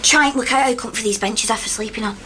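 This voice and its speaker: An actress playing a chirpy, complaining miner's wife with a Northern English accent, plain-spoken, in a radio drama. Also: female voice, on the high side, fast, close to an everyday voice. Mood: plain, cheeky. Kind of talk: naturalistic radio drama acting. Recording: loud background noise and ambience.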